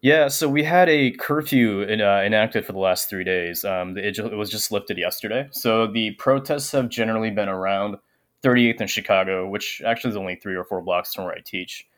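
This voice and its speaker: A young man with a fairly low voice talks thoughtfully, pausing often with ums. He sounds like a guest speaking over a remote call. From Japan. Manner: soft-spoken with many filler ums. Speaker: guest, teacher. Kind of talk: casual interview conversation.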